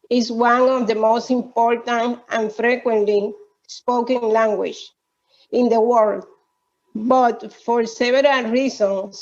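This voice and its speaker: A woman's voice: a little high, darkish tone. A middle-aged woman from Puerto Rico, a Spanish speaker with a Puerto Rican accent, reading a prepared statement aloud on a video call. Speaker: ESL student. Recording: dull lo-fi video call audio.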